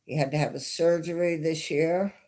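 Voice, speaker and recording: A woman with a New York accent hosting a casual live stream, chatting in a rambling way and laughing softly now and then. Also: deep voice, fairly even intonation, normal speed. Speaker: livestream host.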